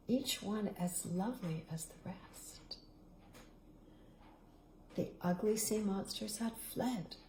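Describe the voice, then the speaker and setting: A middle-aged woman reading a picture book aloud, dramatic in the loud parts and hushed to a whisper in the quiet ones. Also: medium pitch, slight background noise. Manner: expressive, dramatic rises and hushed, whispered endings. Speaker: storybook reader. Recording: roomy echo.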